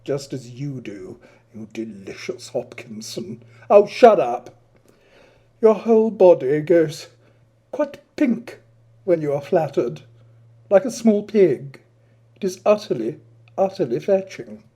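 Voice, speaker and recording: A man's voice: fairly high pitch, sing-song, slow pace, dark tone, husky, full character voice. A man voicing a flamboyant, witty teenage public schoolboy with a florid, affected, theatrical delivery for a novel reading. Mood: preening, teasing.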